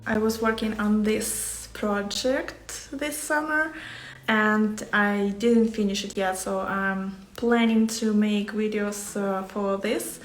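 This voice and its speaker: Slow-talking woman with a Ukrainian accent. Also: medium pitch, fairly even intonation, echoey room, soft background music. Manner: hesitant, searching for words. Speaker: embroidery artist hosting a livestream. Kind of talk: casual livestream chat to viewers. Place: small home workspace indoors.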